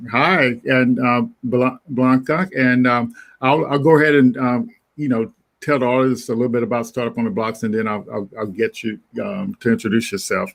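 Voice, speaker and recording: Halting male webinar host. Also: medium pitch, fairly even intonation, gravelly. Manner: halting, many restarts and repeated words. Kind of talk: casual webinar hosting.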